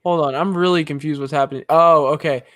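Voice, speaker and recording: A young man co-hosting a live sports podcast, chatting excitedly and rambling through his reactions to the game. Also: a little high, no echo. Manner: excitable, rambling, quick asides. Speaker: podcast co-host.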